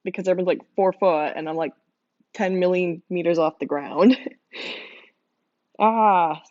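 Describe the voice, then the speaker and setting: A young woman speaks with a hoarse, strained voice, as if she has been shouting for days. She rambles casually to her viewers, laughing often between her thoughts. Her voice comes through a dull, lo-fi mic with a dry, close sound. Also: lowish voice, a little slow, no echo. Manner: giggly, laughs often, many fillers. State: hoarse, losing voice from screaming. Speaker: livestreamer drawing art.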